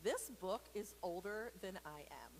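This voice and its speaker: Playful chatty lady doing storytime for kids, rambles a bit, normal pitch, bit of background noise. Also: expressive, a little slow, poor audio. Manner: playful, extroverted, self-deprecating, rambling. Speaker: children's story reader for a church. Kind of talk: informal read-aloud storytime with chatty asides to children.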